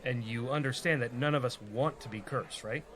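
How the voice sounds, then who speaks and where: A man's voice: mid-range voice, brisk, crowd noise, natural voice. A man playing a young rogue and reluctant party leader in a comedy radio play, casual and persuasive. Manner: casual, bargaining.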